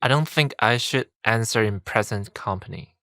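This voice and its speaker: A man's voice: lowish voice, normal speed, sharp tone, dry recording, clean studio sound. A man acting a sceptical, hesitant young Hong Kong man in conversational drama dialogue. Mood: guarded. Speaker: actor in a student play.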